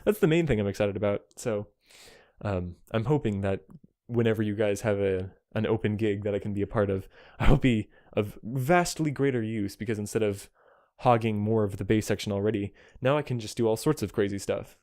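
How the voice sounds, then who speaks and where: Low-voiced man, casual rambling synth demo. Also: brisk, darkish tone, no echo, clean studio sound. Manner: self-deprecating, apologetic, chuckles often; enthusiastic. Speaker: amateur synthesist demonstrating gear. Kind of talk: casual rambling demonstration chat to a friend. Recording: music behind, occasionally overloaded mic. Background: synthesizer tones.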